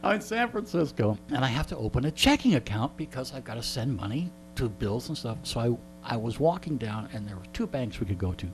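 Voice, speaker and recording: Wry middle-aged storyteller over a hum. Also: male voice, medium pitch, very animated, a little fast, hardly any pauses, low-quality recording. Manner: wry, joking, digressive. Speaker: interview guest and storyteller. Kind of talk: casual anecdotal storytelling. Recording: clear background mains hum.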